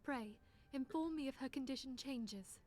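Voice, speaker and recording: A woman's voice: high-pitched, moderate pace, darkish tone. An actress voicing a composed, earnest female leader who speaks formally and with archaic phrasing in a fantasy game. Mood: grave, earnest. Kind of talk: scripted game voice acting.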